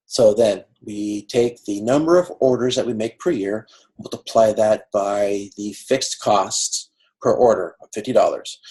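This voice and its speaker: A man with a creaky voice teaching an online class informally, explaining step by step in a halting way on a video call. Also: lowish voice, darkish tone. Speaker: instructor of an online college class. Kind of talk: informal lecture working through a cost example. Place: video conference.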